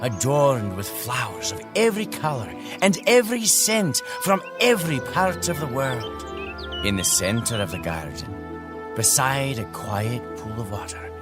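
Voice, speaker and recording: An actor playing a patient father reading a storybook to children in an audio drama, theatrical and deliberate. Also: medium pitch, sing-song, music in the background. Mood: solemn storytelling.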